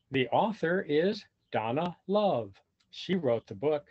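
A man with a husky voice reading a children's storybook aloud expressively and deliberately, pausing to explain things simply to young listeners. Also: a little high, darkish tone. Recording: dull lo-fi video call audio.

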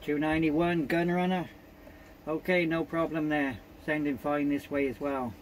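Male CB operator, slightly high voice, courteous call-sign patter, clear background hiss. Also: steady tone, moderate pace, rough recording. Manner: polite, procedural, repeats call signs. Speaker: amateur CB radio operator. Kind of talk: radio contact chat with call signs.

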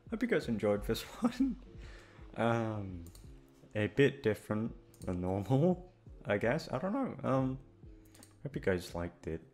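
Casting: male channel host, slightly low pitch, casual outro ramble, scattered, sheepish, sweary. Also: big pitch swings, slow pace, a little mellow, soft background music. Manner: awkward, self-deprecating, swearing, scattered. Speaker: audio roleplay voice actor, channel host.

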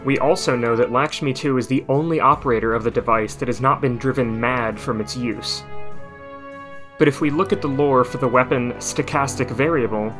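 A man's voice: medium pitch, normal speed, a bit bright. Man narrating game lore over music. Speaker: video creator and narrator. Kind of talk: scripted lore commentary.

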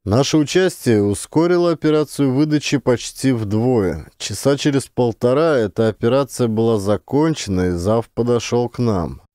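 Guy reading an audiobook, measured, a bit wry, dry studio sound. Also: medium pitch, dark tone, no room sound. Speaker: audiobook narrator. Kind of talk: scripted audiobook narration.

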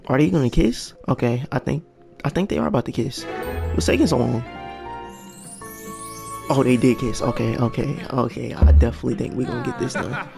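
A man with a raspy, deeply gravelly voice talks his way through a game at his desk. He thinks aloud, second-guesses himself and perks up when things work. Faint music plays underneath. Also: fairly quick, long pauses. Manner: thinks aloud, excited and unsure. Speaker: gaming channel host. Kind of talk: casual game commentary.

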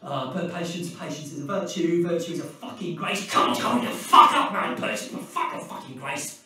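An actor playing a manic, foul-mouthed British criminal with an erratic, volatile way of talking in a video game. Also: male voice, on the high side, expressive, a little fast, sharp tone. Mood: anxious, desperate. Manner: sweary, erratic, swinging from soothing to ranting. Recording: echoey, distant, music behind.

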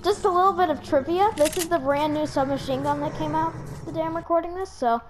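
A woman giving casual gameplay commentary as a host, in an excitable, giggly manner. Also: sits high, quick pace, slight background noise. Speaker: host, gaming YouTuber.

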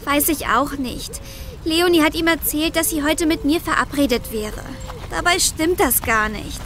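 A woman's voice: sits high, unhurried, a bit bright. An actress playing a worried, earnest young girl footballer in a children's audio drama. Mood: confessing.

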